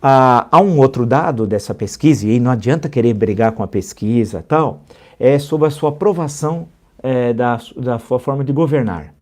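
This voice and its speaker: Homem comentarista enfático, voz escura. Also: male voice, moderate pace, darkish tone. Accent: Brazilian Portuguese. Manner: emphatic, repeats figures for stress, rising pitch when indignant. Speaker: political commentator, host of a live show. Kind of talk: live political commentary.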